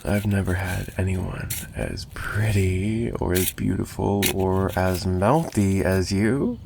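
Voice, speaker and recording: A man's voice: lowish voice, vocal fry. A man playing a possessive, menacing mafia boss in an ASMR roleplay, taunting the listener with theatrical mock sweetness and threats. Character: possessive, menacing young male mafia boss, obsessive kidnapper. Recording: noticeable background noise.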